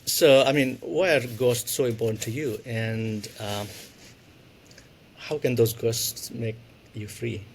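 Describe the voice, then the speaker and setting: A male podcast host asks a guest writer about his work. He sounds engaged and pauses often on "uh" fillers, with a voice of middling pitch. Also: steady tone, slight background noise. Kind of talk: interview questions, reading a poem aloud.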